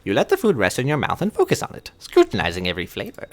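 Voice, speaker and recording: A man's voice: medium pitch, huge pitch swings, quick pace. A young man hosting a let's-play, reading game narration theatrically and bantering casually. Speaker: gaming channel host.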